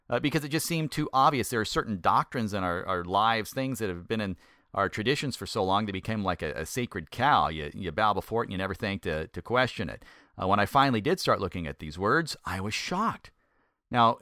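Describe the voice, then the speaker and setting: A middle-aged man, a former pastor, giving a conversational Bible teaching in a folksy, self-deprecating way and slowing down to stress key points. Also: on the low side, sharp tone, dry recording, studio quality.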